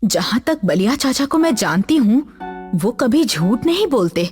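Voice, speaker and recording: Brief: narrator voicing cartoon wife, resolute, lighter voice, soft music. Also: female voice, fairly high pitch, very animated, moderate pace. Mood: determined. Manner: urging. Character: vendor's wife, determined woman. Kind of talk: cartoon dub.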